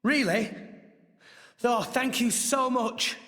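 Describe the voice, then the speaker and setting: A man's voice: very high voice, slow pace, sharp tone, heavily acted. An actor playing an earnest, eager teenage boy adventurer in a video game, with a polite but informal manner. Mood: grateful. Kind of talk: video game cutscene acting. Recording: long hall reverb.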